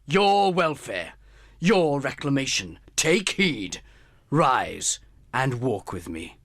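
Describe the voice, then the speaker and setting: A man's voice: mid-range voice, big pitch swings, takes it slowly, a bit bright, no room sound, exaggerated voice. A man playing a stern, imperious spirit with a grand, reproachful manner in a radio play. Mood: commanding.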